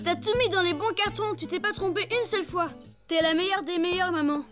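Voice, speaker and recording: A woman's voice: very high-pitched, fairly even intonation, a little fast, over music. A woman voicing an earnest, eager young schoolboy in a cartoon, with a childlike way of talking. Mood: eager, admiring. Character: earnest, eager young schoolboy who admires his mother. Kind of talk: French cartoon dubbing.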